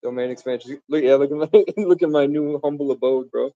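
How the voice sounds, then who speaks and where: A man's voice: on the high side, brisk, mellow, no room sound, lo-fi sound. A man from the Bronx, raised in Vermont, rambling grandly and casually to his viewers on a live stream. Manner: grandiose, meandering, casual slang. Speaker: livestreamer.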